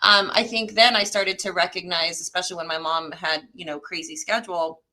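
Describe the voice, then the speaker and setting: Interview guest: middle-aged woman, French native, deep for a female voice, bright and punchy, talking conversationally. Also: fairly even intonation, brisk. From France. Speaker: interview guest, parks and recreation director.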